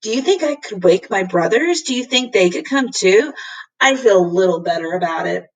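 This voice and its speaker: A woman voicing a trusting, polite young girl in a storybook, earnest and gentle with a touch of nerves. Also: medium pitch, animated, normal speed, distant mic, low-quality recording. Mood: hesitant.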